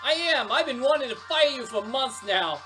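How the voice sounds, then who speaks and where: A woman's voice: high-pitched, animated, moderate pace, fairly bright tone, faint music behind, pitched above the performer's own voice. A woman voicing a domineering, scolding male pizza store manager in a story. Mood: stern, accusing. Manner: domineering, accusing.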